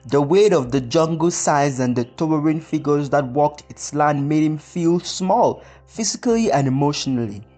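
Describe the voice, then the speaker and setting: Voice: medium pitch, animated, normal speed, warm tone, soft background music. A storyteller reading a scripted folktale narration.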